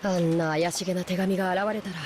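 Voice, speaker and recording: A cool, cynical young servant boy voiced by an actress in an anime visual novel. The speech is quick yet reserved and matter-of-fact. Clear rain sounds surround the voice. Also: lowish voice, steady tone, lightly acted. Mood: cool, resigned. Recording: clear rain noise over the voice.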